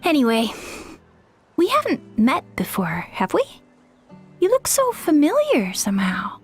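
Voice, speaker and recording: A woman playing an earnest, excitable young trainee flyer with some self-doubt, in a video game. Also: high voice, measured pace, soft background music, heavily acted. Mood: hesitant, self-doubting.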